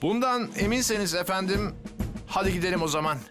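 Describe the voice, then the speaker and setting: An actor playing a calm, confident hero firefighter in a children's cartoon, reassuring and decisive. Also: male voice, high-pitched, fairly quick, natural voice. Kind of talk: animated children's cartoon dub. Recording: loud music behind the voice.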